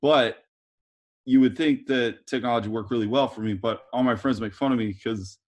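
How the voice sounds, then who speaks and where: A man with a very deep voice chatting casually on a video call from home, friendly and jokey. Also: normal speed. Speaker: workshop participant. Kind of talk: casual chat. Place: room at home. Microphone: video call on a laptop.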